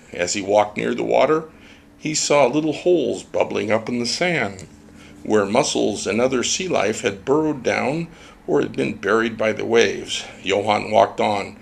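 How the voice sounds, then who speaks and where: A man with a gravelly voice narrating a scripted story as a storybook reader. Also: medium pitch, slight background noise.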